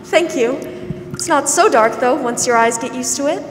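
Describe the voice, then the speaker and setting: A young woman playing a warm, pleasant, fussing woman of sixty in a 1940s-style radio drama on stage. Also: high-pitched, moderate pace, lightly acted. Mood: warm, practical. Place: large theatre stage, indoors. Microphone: stand microphone, masked. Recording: echoey stage hall, faint noise.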